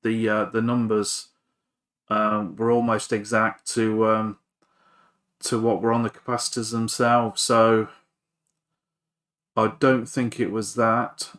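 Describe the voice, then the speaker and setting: A man's voice: fairly low pitch, level tone, takes it slowly, pauses often, fairly bright tone. A man giving an informal, hesitant, rambling commentary on a repair at his workbench, with a self-effacing manner. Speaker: hobbyist electronics repairer and video presenter.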